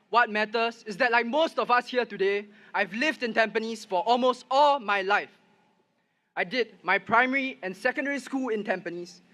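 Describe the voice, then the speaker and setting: Young man, high and bright. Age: young adult. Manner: earnest, storytelling. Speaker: first-time voter speaking at rally. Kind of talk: prepared rally speech. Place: outdoor rally with loudspeakers.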